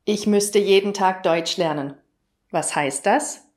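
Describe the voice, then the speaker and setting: A German woman teaching grammar in a lesson video, explaining clearly and asking the viewer questions. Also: mid-range voice, slow, darkish tone.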